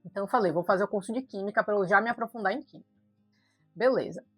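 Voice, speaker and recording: A woman's voice: mid-range voice, racing, a bit bright, slightly rough, no echo, faint music behind. A young Bahian woman, a doctor, telling her life story in an earnest, conversational vlog and talking straight to her viewers. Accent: Bahian Brazilian Portuguese. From Brazil, Salvador, Bahia. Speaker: doctor vlogger.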